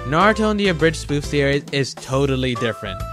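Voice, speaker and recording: A man's voice: medium pitch, very animated, brisk. A man giving casual, wry review commentary in a conversational way, with rhetorical asides. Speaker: host of a countdown review video. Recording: music behind the voice. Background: guitar music.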